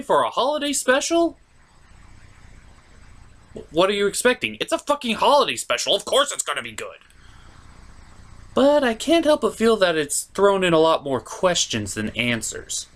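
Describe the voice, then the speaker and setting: An animated comedy reviewer narrating with a sarcastic edge. The pitch swings widely as the host leans on key words, blunt and sweary.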